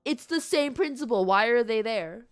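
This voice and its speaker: A woman's voice: on the high side, expressive, normal speed. Woman livestreamer casually commenting on a game. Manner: reactive, conversational, playful. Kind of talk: casual live gaming commentary. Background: faint game music.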